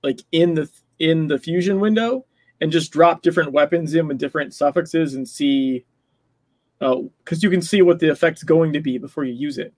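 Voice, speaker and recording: A man with a fairly high-pitched voice talks through game details in a casual way. He explains as he goes and adds small hedging asides. The sound is very dry, with no room echo. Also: normal speed, darkish tone. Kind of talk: casual gaming discussion.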